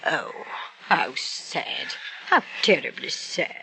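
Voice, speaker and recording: A woman's voice: deep voice, sing-song, slow, gravelly, sharp tone, full character voice, rough recording. A woman playing a fussy, gushing widowed landlady who puts on refined airs, in a vintage radio drama. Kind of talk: 1940s radio acting.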